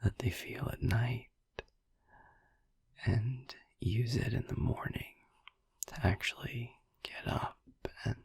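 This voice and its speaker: A man playing a soothing vampire boyfriend in an ASMR sleep-aid roleplay, musing gently in a hushed, breathy whisper close to the microphone. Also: mid-range voice, no room sound, exaggerated voice. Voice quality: breathy whisper with gravelly creak. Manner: slow, hushed, gently musing with long pauses. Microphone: close microphone, dry.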